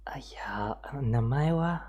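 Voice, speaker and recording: A man's voice: mid-range voice, expressive, takes it slowly, darkish tone, dull audio. A man streaming, chatting casually and rambling with frequent restarts. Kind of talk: casual livestream commentary.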